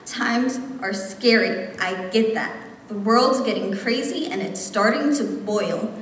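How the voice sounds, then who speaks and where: A woman's voice: on the high side, takes it slowly. Young woman performing slam poetry, echoey hall. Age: young adult. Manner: impassioned, rhythmic, emphatic delivery. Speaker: slam poet. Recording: echoey hall, distant mic, faint noise.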